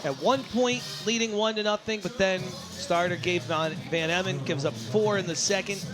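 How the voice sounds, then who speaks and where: A man doing live baseball play-by-play commentary as the announcer, who gets excitable and shouts on big plays. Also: high voice, normal speed, music in the background. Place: sports broadcast at a baseball game.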